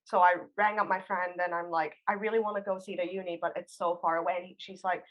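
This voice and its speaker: A woman's voice: on the low side, flat delivery, fairly quick, fairly bright tone, rough recording. A young woman student casually telling personal stories, acting out bits of conversation as she goes. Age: young adult. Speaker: student panelist.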